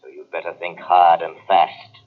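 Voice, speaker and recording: Man acting a deep, sinister radio-mystery avenger. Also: monotone, phone-quality sound, natural voice. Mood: accusing, menacing. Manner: measured, mocking, menacing, formal diction. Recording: old radio drama on cassette, band-limited.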